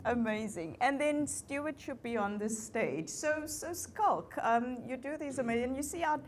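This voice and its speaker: Lively woman moderator. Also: high-pitched, a little slow, a little hiss or hum. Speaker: facilitator of a fireside chat. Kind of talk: conversational panel moderation.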